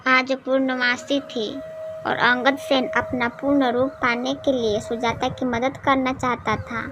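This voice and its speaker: Female narrator for a moral story, high register, unhurried pace, noisy recording. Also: little pitch movement, highs cut off. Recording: clearly noisy background.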